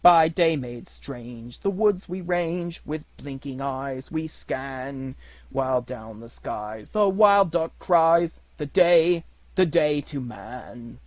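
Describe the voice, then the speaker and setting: A man voicing a wolf pack's hunting song as loud, measured, drawn-out verse from a storybook. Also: on the high side, lively intonation, dry recording. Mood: solemn, rousing. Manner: declamatory, drawn-out. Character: wild wolf pack chanting a solemn jungle hunting song.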